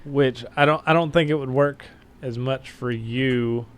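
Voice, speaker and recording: A man's voice: mid-range voice, fairly even intonation, unhurried, clean tone. A man chatting as a guest on a podcast, friendly and easygoing. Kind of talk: casual chat.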